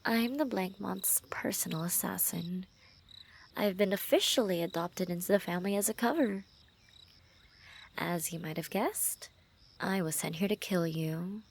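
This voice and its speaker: A woman playing a lovestruck assassin in an intimate ASMR roleplay, teasing and menacing, speaking softly and often dropping into whispers. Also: fairly low pitch, a little slow, creaky, slight background noise. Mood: quietly menacing.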